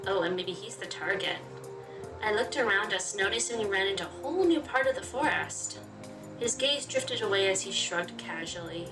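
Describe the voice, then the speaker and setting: Woman, game commentary, expressive story reading, chatty, echoey room, game music behind. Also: medium pitch, moderate pace. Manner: chatty, reactive. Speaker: game player reading aloud. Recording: roomy, distant, music behind.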